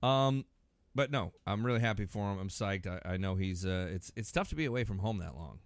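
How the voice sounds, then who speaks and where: American man, forties, podcast host. Low, smooth voice, dry close sound, casual and halting. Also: lively intonation, dry recording. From Massachusetts, United States. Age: 40s. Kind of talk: casual, joking podcast chat.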